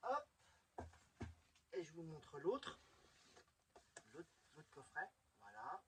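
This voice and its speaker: A man's voice: on the high side, wildly swooping pitch, takes it slowly, very noisy. A middle-aged man chatting enthusiastically and informally to viewers as he presents a hobby vlog. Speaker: video presenter showing a collection.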